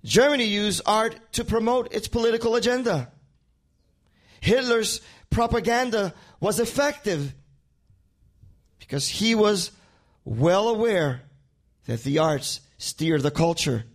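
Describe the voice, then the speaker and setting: Male pastor, Spanish accent, high voice, preaching, repeats words as he builds toward a point. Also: animated, a little slow, pauses often. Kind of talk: sermon.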